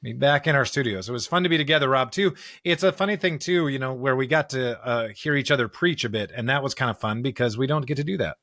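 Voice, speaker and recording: A man's voice: medium pitch, fast, hardly any pauses, a bit bright, no echo, studio quality. An American man co-hosting a church podcast, chatting casually and reading scripture. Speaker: podcast co-host and pastor.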